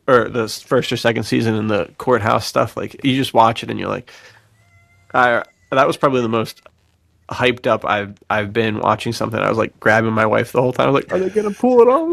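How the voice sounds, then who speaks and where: Enthusiastic man hosting a podcast, gravelly edge. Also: mid-range voice, dry recording. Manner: enthusiastic, effusive, slangy, laughs often. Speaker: podcast host. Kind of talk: casual podcast chat.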